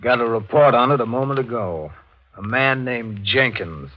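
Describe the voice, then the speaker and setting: An actor playing a brisk, businesslike police investigator in a 1940s radio drama. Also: male voice, medium pitch, natural voice. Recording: dull 1940s radio recording.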